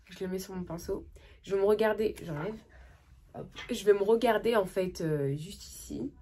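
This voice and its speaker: A young woman with a cold talks through her makeup tutorial in a husky, breathy voice. She chats eagerly and casually, full of slang and half-finished sentences, with her voice sitting around the middle of a woman's range. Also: mid-range voice, normal speed. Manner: chatty, excited, slangy, trails off often. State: has a cold. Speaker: makeup vlogger.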